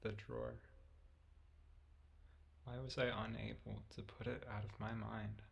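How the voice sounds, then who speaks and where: Man acting a brooding, creaky-voiced obsessive narrator. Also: lowish voice, level tone, dull audio, noisy background. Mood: brooding unease. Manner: brooding, deliberate, melodramatic. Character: obsessive, increasingly unhinged man confessing his fixation. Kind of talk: scripted first-person radio drama monologue. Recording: loud music under the voice. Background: music.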